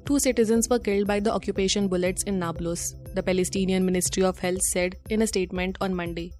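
A woman's voice: medium pitch, fairly even intonation, quick pace, a little mellow, soft background music. A woman with an Indian accent reading a scripted news bulletin as presenter.

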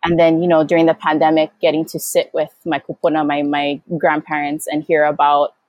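A woman from Hawaii speaking reflectively as an interview guest, thoughtful and halting as she searches for words. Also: low-pitched, monotone, dry recording, muffled recording. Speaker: guest, Hawaiian educator and activist.

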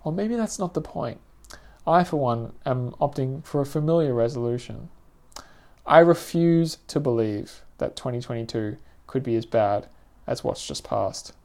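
A man's voice: medium pitch, expressive, creaky, darkish tone. Reflective man reading his essay. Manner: reflective, literary, measured reading. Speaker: writer reading own essay aloud. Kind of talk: scripted personal essay narration.

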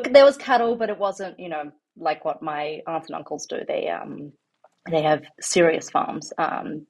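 A woman's voice: deep voice, poor audio. An Australian woman in her thirties, talking casually as an interview guest, rambling with many ums and restarts. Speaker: guest, home farmer.

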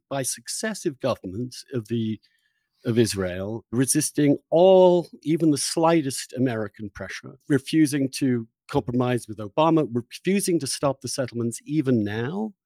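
A man hosting an interview, discussing politics conversationally, haltingly revising his phrasing as he carefully chooses his words. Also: medium pitch, warm tone, no echo. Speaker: interview host.

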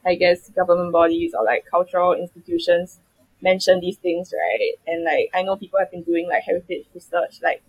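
Young Singaporean woman, guest, husky low-ish voice, rambling with fillers. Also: little pitch movement, a little fast, no room sound, muffled recording. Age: young adult. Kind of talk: casual panel chat.

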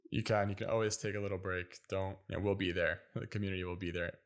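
A man's voice: low voice, fairly even intonation, fast, noisy background. A man from the United States hosting a casual interview, asking questions and encouraging his guest in a friendly way. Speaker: interviewer, host.

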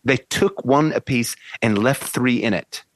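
A woman's voice: extremely low pitch, a little slow, slight background noise. A woman playing an arrogant, imperious male phonetics professor in an amateur play reading. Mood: commanding. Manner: imperious, brusque, theatrical.

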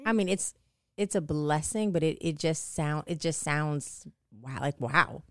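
A woman of forty co-hosting a podcast, chatting casually and teasingly with a close friend in a playful, animated manner. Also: sits low, a little slow, no room sound. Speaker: podcast co-host. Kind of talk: casual girl-talk chat between close friends.

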